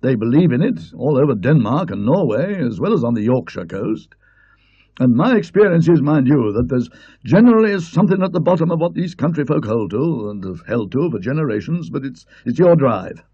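A hearty old colonel booms out his opinions bluffly, as a man puts him on. The voice is mellow with a husky grain, recorded dry and slightly muffled. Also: sing-song, a little fast, no room sound. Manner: booming, hearty. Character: bluff hearty older male retired colonel. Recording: dull lo-fi recording.

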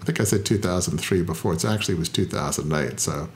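A middle-aged man with a fairly low voice speaks in a reflective interview. He is thoughtful and self-deprecating, pausing often with "uh" to gather his thoughts. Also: a little hiss or hum. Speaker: founder and interview guest.

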